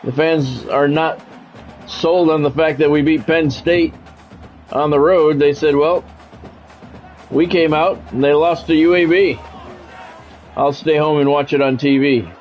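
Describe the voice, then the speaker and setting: A man's voice: on the high side, steady tone, unhurried, long pauses, dull audio. A man livestreaming as a sports commentator, switching between clipped announcer-style play calls and casual chat with viewers over music. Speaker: game streamer calling play-by-play.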